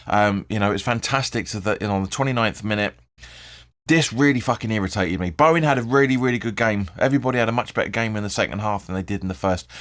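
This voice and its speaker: A man with a hoarse, croaky voice hosting his own fan channel, rambling through post-match thoughts, correcting himself and swearing freely. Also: on the low side, quick pace, fairly bright tone. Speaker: football fan channel host. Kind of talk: casual post-match video commentary.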